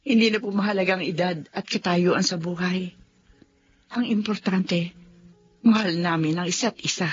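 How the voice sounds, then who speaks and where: A woman playing a calm, polite widowed principal. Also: lowish voice, moderate pace, pauses often, muffled recording, faint music behind, close to an everyday voice. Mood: earnest. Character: widowed woman principal, humble, devoted lover. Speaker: school principal. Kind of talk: radio drama acting.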